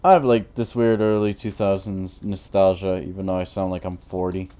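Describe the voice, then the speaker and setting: A tired man in his twenties with a naturally deep voice, rambling through casual commentary while he builds in a game, full of fillers and restarts. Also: steady tone, normal speed, warm tone, clear, resonant tone. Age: 20s. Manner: rambling, hesitant, many fillers. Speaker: Minecraft YouTuber.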